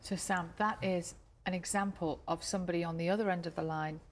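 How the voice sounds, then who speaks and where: A woman's voice: lowish voice, steady tone, brisk, slight background noise. A woman presenter conducting a live broadcast interview on a breakfast show. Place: TV studio.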